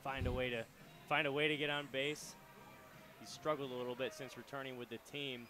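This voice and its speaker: A young man giving relaxed, chatty radio color commentary on a baseball game from a ballpark booth. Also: medium pitch, fairly quick, over music. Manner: casual, chatty, many fillers and restarts. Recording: crowd noise from the ballpark.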